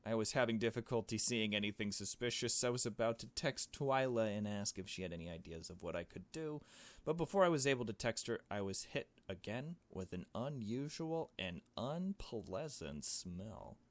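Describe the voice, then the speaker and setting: A man reading a visual novel aloud as a let's player, in hushed storytelling narration with casual asides. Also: lowish voice, lively intonation, normal speed.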